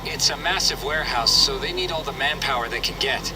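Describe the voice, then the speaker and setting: An actor playing a polite, unflappable tech-savvy lookout in a video game, measured, precise and slightly formal. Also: male voice, medium pitch, over music, natural voice, low-quality recording.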